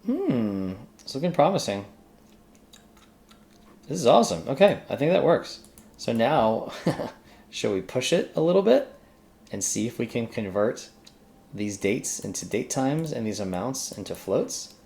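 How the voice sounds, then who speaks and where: A man's voice: mid-range voice, big pitch swings, unhurried, creaky, pauses often, darkish tone. A man talking casually through a coding task as a screencast host, often muttering to himself as he works. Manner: mutters to self while working. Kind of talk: casual think-aloud coding tutorial.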